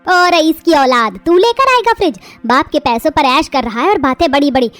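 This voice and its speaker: A woman voicing a harsh middle-aged mother-in-law in an animated family drama, hurling shrill insults. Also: normal speed, soft background music. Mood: furious. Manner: shrill, insulting, shouting tirades.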